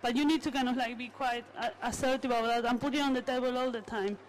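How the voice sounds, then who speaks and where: A woman's voice: a little high, steady tone, quick pace, a little hiss or hum. A woman from South America giving opinionated, conversational remarks in an informal group discussion. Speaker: discussion participant, parent.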